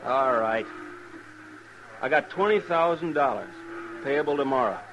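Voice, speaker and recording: A man's voice: mid-range voice, lively intonation, a little slow, a bit bright, highs cut off. A man playing a surly, headstrong young American cattle boss in an old radio western, curt and defiant. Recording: noisy old radio recording.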